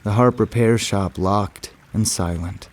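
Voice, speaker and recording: An actor playing a haunted man who confides a dark secret in a halting, deliberate horror monologue, with repeated broken phrases. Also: lowish voice, close to an everyday voice. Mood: brooding. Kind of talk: slow, scripted dramatic monologue for an audio horror drama. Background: music.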